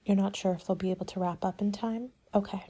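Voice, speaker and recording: Soft, mellow woman in ASMR roleplay. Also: mid-range voice, fairly even intonation, husky. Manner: polite, measured, businesslike consultant patter. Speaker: party planning agent in an ASMR roleplay. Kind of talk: soft-spoken ASMR roleplay, partly whispered.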